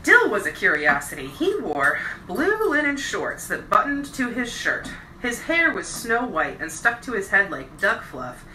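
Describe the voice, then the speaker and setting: A woman reading a novel aloud as a bedtime storyteller, with expressive, deliberate phrasing and stressed words. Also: mid-range voice. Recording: roomy echo, distant mic, faint noise.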